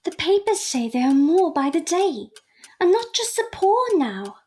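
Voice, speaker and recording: A woman's voice: very high voice, very animated, a little slow, dark tone, full character voice. A young woman playing a prim, perceptive Victorian daughter in an audio drama, formal and earnest. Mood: anxious.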